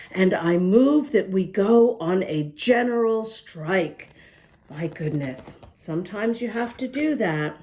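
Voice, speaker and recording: A woman reading a picture book aloud to young children, gently pausing to talk to them and show the pages. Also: mid-range voice. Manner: gentle, slow, talks to young listeners. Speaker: children's storybook reader. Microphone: camera close up, showing the book.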